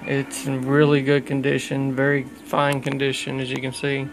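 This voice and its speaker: A man giving a casual, unscripted review of his radio gear at home in a halting, plain-spoken way. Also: mid-range voice, completely flat delivery, rough recording. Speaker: radio hobbyist showing his equipment. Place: small indoor room at home.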